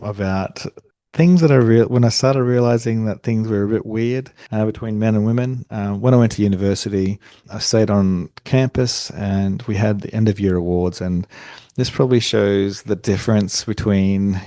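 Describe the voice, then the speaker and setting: A young Australian man talks through a solo podcast episode in a low, mellow voice. He rambles casually, restarting sentences and padding with fillers, in a delivery he himself calls monotone. The sound is dry and close, with no room around it. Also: normal speed, no room sound. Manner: conversational, self-described monotone, frequent fillers and restarts. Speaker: podcast host.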